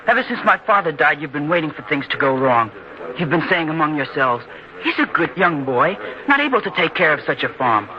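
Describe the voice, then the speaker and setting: Proud young farmer, higher-pitched. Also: male voice, very animated, a little fast. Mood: defensive, bitter. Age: young adult. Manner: intense, defensive delivery. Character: Gideon, proud touchy determined young farmer son. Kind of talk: old-time radio drama acting. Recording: lo-fi radio sound, crowd behind.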